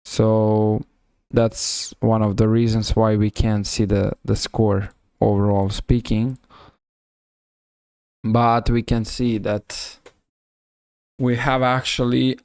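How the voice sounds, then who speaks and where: A man with a Serbian accent giving live chess commentary on a stream, halting and often rephrasing himself. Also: on the low side, level tone, a little mellow, smooth, no echo, studio quality.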